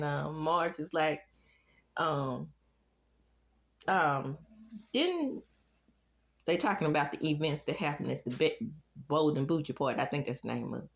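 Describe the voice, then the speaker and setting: A woman giving casual, chatty recap commentary, gossipy, slangy and animated, acting out what people said as she tells stories. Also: sits low, gravelly. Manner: animated, sassy, gossipy, slangy, acts out quotes. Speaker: host of a reality TV recap review.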